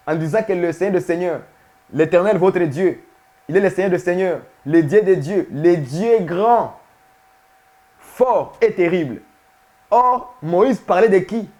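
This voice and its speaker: A man's voice: on the high side, a little mellow. A man preaching a sermon, emphatic and repetitive, often putting questions to his listeners. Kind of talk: sermon teaching to viewers.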